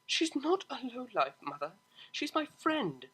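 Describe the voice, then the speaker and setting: Woman voicing a young refined mare, high and pleading. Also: big pitch swings, measured pace, a bit bright, husky, heavily acted. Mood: quietly defiant. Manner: pleading, stammering, emphatic. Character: young refined pony mare, distressed, defensive daughter.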